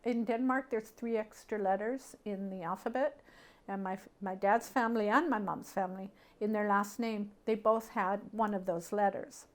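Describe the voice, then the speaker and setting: A middle-aged woman from Canada talks in an ordinary mid-pitched voice. She reminisces informally, as if being interviewed. Her delivery is conversational and halting, as she thinks aloud. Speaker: interviewee sharing family memories. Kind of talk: casual personal reminiscence.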